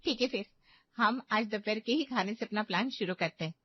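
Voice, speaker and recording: A woman's voice: medium pitch, a little fast, husky, no room sound, highs cut off, close to an everyday voice. A woman voicing an anxious but game young daughter-in-law in a cartoon story, earnest and conspiratorial. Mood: resolute. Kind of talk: cartoon moral story acting.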